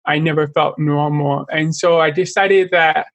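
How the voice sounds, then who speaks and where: A man's voice: on the high side, fairly even intonation, fairly bright tone, no echo, highs cut off. Earnest young man with a deaf accent. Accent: deaf speaker's accent. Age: young adult. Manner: earnest, open, at times halting speech. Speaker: interview guest, artist. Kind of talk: casual interview conversation.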